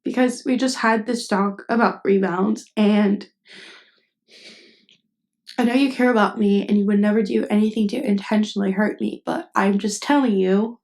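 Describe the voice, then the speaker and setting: A woman acting a tender, lovestruck best friend in an intimate ASMR roleplay, speaking in a halting, earnest, vulnerable way. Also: medium pitch, fairly even intonation, darkish tone, lightly acted. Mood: earnest, pleading. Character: tender, lovestruck female best friend confessing her feelings. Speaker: voice actress.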